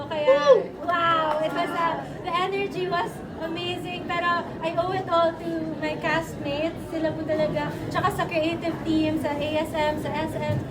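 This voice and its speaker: A woman with a Filipino accent speaking casually in a group interview, moving between Tagalog and English. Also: extremely high pitch. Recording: distant mic, loud noisy crowded hall. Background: busy crowd in a large room.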